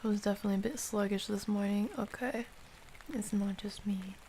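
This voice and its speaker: A woman hosting an ASMR livestream, chatting casually and softly with viewers in a playful, affectionate, unhurried way, sometimes close to a whisper. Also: medium pitch, fairly even intonation, a little hiss or hum. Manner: soft-spoken, unhurried, drifting into whispers.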